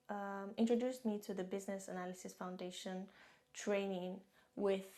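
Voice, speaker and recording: A woman's voice: mid-range voice, dark tone. Chatty Nigerian woman telling her story. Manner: chatty, laughs often, repeats herself. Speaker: vlogger. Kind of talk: casual storytelling to camera. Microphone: camera-mounted shotgun mic.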